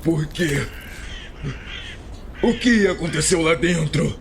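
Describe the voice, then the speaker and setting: An actor playing a young paladin turned horned tiefling, distraught, pleading and halting, in an audio drama. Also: male voice, a little high, creaky, slight background noise. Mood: shocked, disgusted. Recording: loud music behind the voice.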